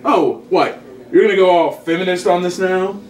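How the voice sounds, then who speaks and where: A man's voice: high-pitched, moderate pace, a little mellow, slight background noise, lightly acted. A man reading the part of an enthusiastic, easily defensive comic-book geek at a comedy table read. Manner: gushing, nerdy enthusiasm. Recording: roomy, distant mic.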